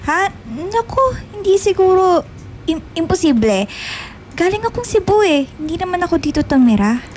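A woman acting an obsessive, needy young woman in a Filipino radio drama, pleading and halting in manner. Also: very high-pitched, very animated, a little mellow, slight background noise. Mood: feigned sweetness. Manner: pleading, halting when evasive.

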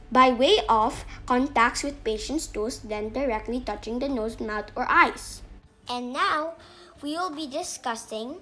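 Woman as a slow-talking, calm lady doctor puppet. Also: high voice. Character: female doctor puppet, calm, reassuring, instructive. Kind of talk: children's educational puppet show, scripted explanation.

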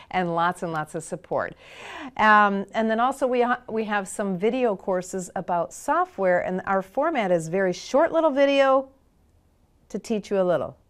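Woman, middle-aged, from Michigan, show host, chatty, upbeat, studio, lapel mic. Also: mid-range voice. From Michigan, USA. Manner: chatty, upbeat, salesy when promoting. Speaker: podcast host and photography teacher. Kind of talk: talk-show interview and course promo. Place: studio with dark backdrop.

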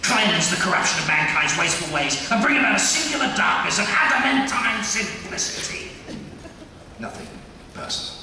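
An actor playing a grandiose, pompous villain bent on cleansing the world, at a live table read. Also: male voice, high voice, lively intonation, full character voice. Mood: grandiose, menacing. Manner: pompous, monologuing, drawn-out delivery. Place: large convention hall panel stage. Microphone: table microphones, recorded by distant camera. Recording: echoey hall from far off, loud noise.

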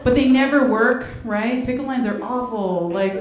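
Young woman doing stand-up, kinda high voice, roomy club, crowd laughing. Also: moderate pace. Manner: animated, laughing between jokes, puts on voices for bits. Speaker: stand-up comedian. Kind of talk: stand-up comedy set. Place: comedy club stage. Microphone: handheld mic. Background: audience laughing.

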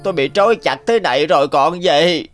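Sly, gluttonous cartoon tanuki played by a man, whiny and muttering, lively swooping pitch. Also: a little fast, faint music behind, lightly acted. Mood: whiny pleading. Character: sly greedy thieving tanuki, gluttonous and wheedling. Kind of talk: animated cartoon dialogue.

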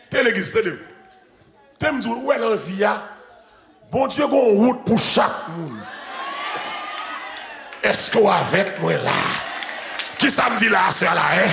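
Haitian pastor in his forties, bright forceful delivery, slow and chanting, handheld mic in a church hall. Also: high-pitched, vocal fry. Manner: shouting, chanting repetitive preaching. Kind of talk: sermon. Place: large church sanctuary with congregation. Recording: echoey church hall, organ music.

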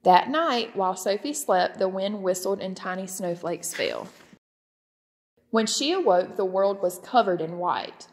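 A woman narrating a children's storybook aloud, expressive and clearly phrased. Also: medium pitch, measured pace, long reverb tail. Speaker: storybook reader.

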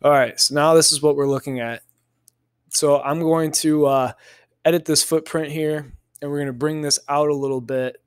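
A man narrating a software tutorial in a casual, informal way, thinking aloud step by step as an instructor. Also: a little high, steady tone, normal speed, clean tone, no echo, studio quality.

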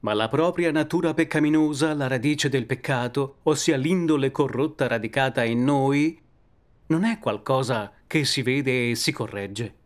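A middle-aged man preaching a sermon, seated at a desk in a study with bookshelves, explaining in a calm, earnest way. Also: medium pitch, normal speed.

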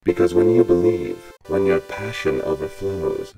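Male dramatic motivational read, vocoder effect, very low, gravelly, heavy synth tones. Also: measured pace, over music, poor audio. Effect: synth vocoder, robotic.

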